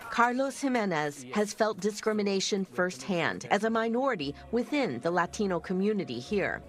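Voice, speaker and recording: A woman reporter reading a scripted news story in a measured, storytelling way. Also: mid-range voice, big pitch swings, a faint crowd.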